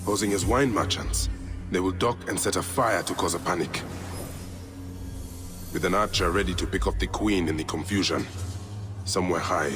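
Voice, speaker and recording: Very deep, grave warrior played by a man. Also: normal speed, pauses often, music in the background, lightly acted. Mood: grim, deducing. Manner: terse, brooding. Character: grave, determined male warrior investigator. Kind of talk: video game character acting.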